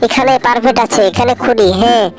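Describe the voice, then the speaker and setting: A woman dubbing a bossy, easily panicked cartoon homeowner who scolds and wails loudly. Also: on the high side, steady tone, fast talker, music in the background, lightly acted. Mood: busy. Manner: scolding, wailing exclamations. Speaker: fan dub voice artist. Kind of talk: homemade cartoon dub.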